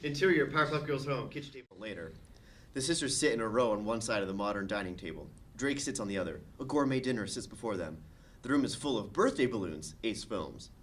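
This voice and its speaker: Man narrating with very varied pitch. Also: expressive, fast, fairly bright tone, slight background noise. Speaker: stage-direction narrator. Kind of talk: scripted narration at a comedic table read.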